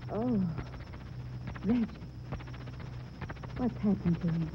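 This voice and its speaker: A woman's voice: medium pitch, takes it slowly, lightly acted. An actress playing a refined, inquisitive, anxious young woman in a theatrical 1940s radio horror style. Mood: frightened. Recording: dull, echoey, faintly noisy vintage radio.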